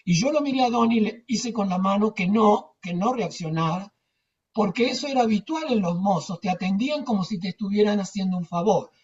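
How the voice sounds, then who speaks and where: A woman's voice: mid-range voice, fairly quick, darkish tone. An older woman with an Argentine accent, telling anecdotes casually in a rambling, emphatic way with repetitions. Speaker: theatre teacher. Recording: distant, dull muffled microphone.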